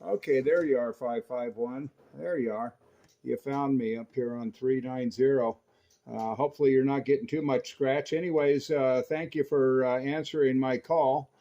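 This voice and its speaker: A man's voice: medium pitch, fairly even intonation, moderate pace, dark tone, muffled recording. An American man chatting casually and enthusiastically over CB radio, explaining things like a keen hobbyist.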